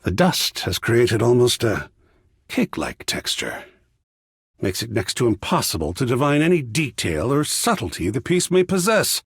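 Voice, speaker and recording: A man's voice: medium pitch, sing-song, husky, dry recording, clean studio sound, full character voice. A man playing a flamboyant, cultured art curator in a video game, effusive and fussy, with grand theatrical phrasing. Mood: fussy, concerned. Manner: grandiloquent, dramatic drawn-out phrasing, swooping exclamations. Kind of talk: video game character voice lines.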